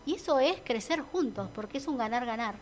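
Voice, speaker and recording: A talkative woman with an Argentine Spanish accent shares her views in casual chat. Her voice sits a little high and she muses with fillers and restarts. Faint ambient noise hums behind her. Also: animated, fairly quick. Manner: chatty, reflective, many fillers and restarts. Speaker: entrepreneur guest.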